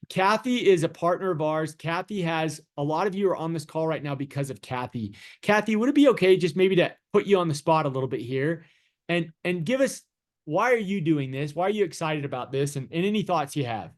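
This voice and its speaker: Man, host of a business call; fairly high voice, eager and hurried. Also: expressive. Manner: upbeat, hurried, repeats words. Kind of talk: casual pitch on a video call. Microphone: video call.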